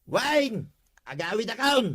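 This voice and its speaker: A man's voice: extremely high pitch, big pitch swings, slow, fairly bright tone, heavily acted. A man with an Ilocano accent playing a henpecked, complaining village husband in a comedy drama.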